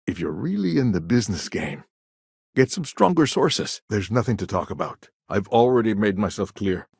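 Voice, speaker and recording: An actor playing a shrewd, courteous business negotiator in a video game, with a gravelly voice and a wry, conversational manner. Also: male voice, lowish voice, big pitch swings, normal speed, darkish tone, dry recording, studio quality, exaggerated voice. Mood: skeptical, firm. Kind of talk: video game dialogue lines.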